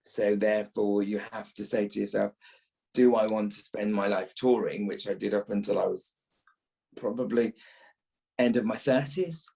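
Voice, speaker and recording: A man's voice: fairly low pitch, steady tone, normal speed, vocal fry, dark tone, rough recording. A middle-aged or older British man, an actor being interviewed, talking reflectively and repeating phrases as he thinks.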